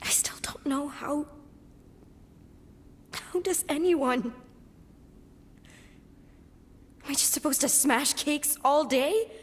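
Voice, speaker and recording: A woman voicing a hurt, stubborn twelve-year-old child in a video game, raw, defensive and breathy with grief. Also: high voice, slow pace. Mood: lost, subdued. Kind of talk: dramatic video game cutscene acting. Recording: long reverb.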